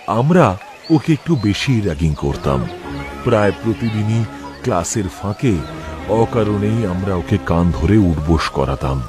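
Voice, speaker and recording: Low-pitched man narrating over music. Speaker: story narrator. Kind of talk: scripted first-person horror story narration for a cartoon.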